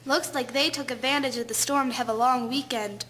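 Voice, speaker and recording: A woman acts an eager schoolgirl with a high, bright voice. She is friendly and generous, a teenager in a period radio play. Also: steady tone, fairly quick, slight background noise. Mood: upbeat. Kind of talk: scripted audio drama acting.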